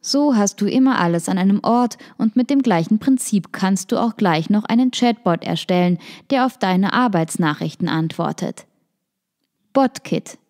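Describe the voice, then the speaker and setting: Female narrator, calm article read-through, warm and soft tone. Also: medium pitch, normal speed. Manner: reads a written article. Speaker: podcast narrator. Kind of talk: scripted narration.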